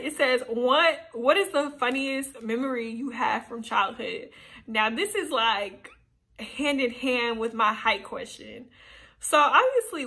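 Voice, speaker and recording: A woman in her twenties chatting casually and playfully to the camera, answering get-to-know-me questions in a small room. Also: fairly high pitch. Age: 20s. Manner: animated, chatty, playful asides. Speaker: YouTuber. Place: small indoor room, seated on a sofa.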